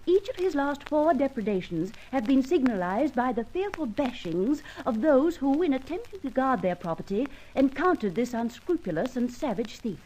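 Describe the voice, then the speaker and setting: A sceptical British lady confidante in a vintage radio serial. Her voice is soft-toned and fairly high with lively melody, and she speaks formally, with a reproving edge. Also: normal speed, hardly any pauses, a little hiss or hum, low-quality recording. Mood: grave reading aloud. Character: sceptical, concerned female confidante of a gentleman thief. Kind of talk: old-time radio drama acting.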